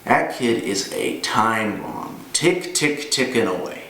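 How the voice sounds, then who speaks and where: A man performing a dramatic monologue as a gruff, weary older ex-cop, grimly menacing, telling his tale deliberately with pauses. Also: fairly low pitch, lively intonation, fairly bright tone, exaggerated voice. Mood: grim warning. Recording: roomy, distant mic with noise.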